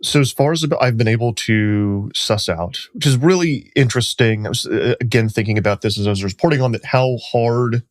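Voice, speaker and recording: A man's voice: medium pitch, brisk, few pauses, dry recording, studio quality. A man talking as a reporter guest on a podcast, in a loose, chatty way full of "like" and "um.". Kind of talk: casual podcast chat.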